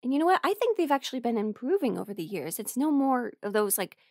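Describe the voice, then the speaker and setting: Young female musician, friendly and playful, halting conversational delivery for intimate audio roleplay, dry sound played by a woman. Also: a little high, sing-song, mellow, no echo. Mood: casual, chatty. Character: friendly, teasing young woman musician, tired bandmate on tour.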